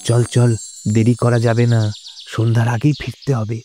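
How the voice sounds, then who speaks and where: A man's voice: mid-range voice, completely flat delivery, normal speed, mellow, faint music behind, lightly acted. A man playing a storyteller who relives himself as an eager, cautious boy, in theatrical, dramatized narration. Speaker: storyteller recounting his own boyhood.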